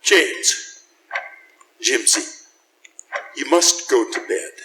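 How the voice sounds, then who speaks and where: A man's voice: medium pitch, lively intonation, sharp tone, exaggerated voice. A man playing a stiff, proud small-town bank president, formal and pompous, in a radio drama. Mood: flustered, reserved. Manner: pompous, formal, halting when flustered. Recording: echoey hall, heavy reverb.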